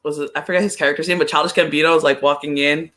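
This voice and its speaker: A woman's voice: deep voice, fairly even intonation, racing, a crowd in the background. A woman appearing as a podcast guest, chatting casually about sports with "like" peppered through her talk. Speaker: podcast guest, soccer fan.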